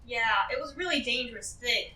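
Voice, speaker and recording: A woman's voice: fairly high pitch, animated, a little fast, bright, forceful tone. A woman playing a tabletop role-playing game, improvising casual dialogue. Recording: roomy, slightly distant mic.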